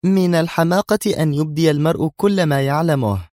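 Man voicing a high, sharp, haughty young woman agent. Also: a little fast, dry recording, studio quality, close to an everyday voice. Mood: irritated, sarcastic. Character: nervy, scornful young woman intelligence agent.

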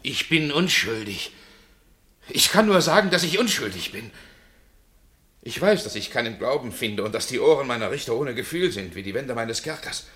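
A man's voice: medium pitch, expressive, moderate pace, fairly bright tone, natural voice. An actor playing a desperate condemned man who pleads his innocence with theatrical passion in a radio play. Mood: anguished, pleading. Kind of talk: 1960 German radio drama acting.

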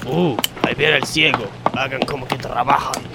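An actor playing a scheming, mock-solemn factory worker with an Argentine accent in a radio comedy sketch. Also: male voice, mid-range voice, steady tone, normal speed, creaky, very noisy, close to an everyday voice. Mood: complaining. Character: scheming, work-shy, football-loving male factory worker.

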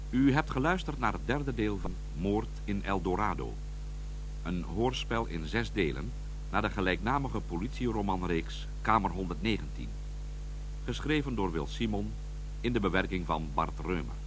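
A man's voice: sits low, background noise. A man reading scripted radio drama credits as a formal announcer.

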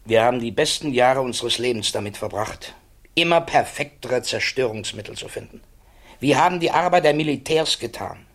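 Grave, measured male physicist. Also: mid-range voice, animated, fairly bright tone. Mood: resolute, regretful. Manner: measured, formal, deliberate rhetorical delivery. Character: grave, reflective male physicist defending his conscience. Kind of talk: radio play monologue, formal stage German.

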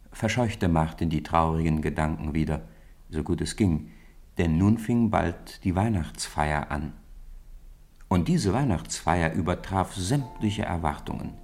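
A middle-aged man calmly narrating a radio drama in a studio, with measured, gently solemn delivery. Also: deep voice. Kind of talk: scripted storybook narration.

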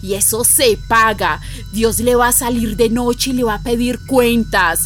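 Casting: Colombian countrywoman, high voice, slow dramatic storyteller, earthy and fearful, radio drama over music. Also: steady tone, fairly bright tone. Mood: indignant, sly. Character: Juana, earthy, frightened village woman recounting violent events. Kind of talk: radio drama narration and dialogue.

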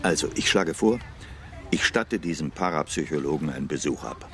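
A probing, deep gravelly investigator. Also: male voice, animated, fairly bright tone, full character voice. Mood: probing, assured. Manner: direct, inquisitive, deliberate. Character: probing, self-assured male investigator questioning a client. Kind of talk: German radio crime drama acting.

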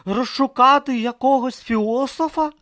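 A man's voice: extremely high pitch, moderate pace, a bit bright, pitched above the performer's own voice. A man voicing a timid, earnest young male novice monk who speaks hesitantly and anxiously.

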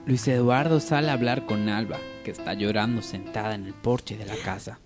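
A man's voice: on the low side, fairly even intonation, normal speed, music in the background. A man narrating a parody radio soap opera in a mock-dramatic storytelling style.

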